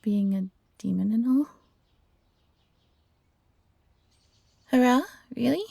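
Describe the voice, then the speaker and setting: Woman playing a soft husky fox-hybrid servant gal, earnest n hesitant, bit creaky, audio roleplay, really dry close sound. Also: a little high, normal speed, no echo, full character voice. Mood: gentle, hesitant. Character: gentle, eager fox-hybrid former servant woman learning magic. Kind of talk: intimate roleplay audio drama.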